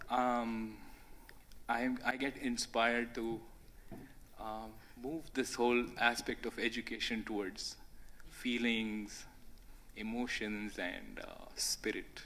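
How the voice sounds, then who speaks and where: Slow, modest young man on a panel. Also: medium pitch, fairly bright tone. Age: young adult. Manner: modest, hesitant, frequent fillers. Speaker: youth panelist. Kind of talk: conference panel talk.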